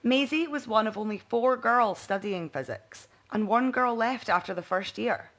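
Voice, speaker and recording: A woman reading a bedtime story aloud in an expressive audiobook narration style. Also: fairly high pitch, moderate pace. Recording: music behind the voice.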